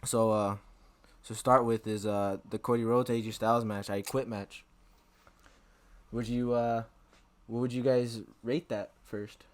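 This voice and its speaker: A man's voice: medium pitch, steady tone, unhurried. A young man co-hosting a casual podcast, talking through a face mask, rambling and joking as he lays out the plan. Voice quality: speaking from behind a face mask. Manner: laughs often, rambling and joking. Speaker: podcast co-host. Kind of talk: casual chat.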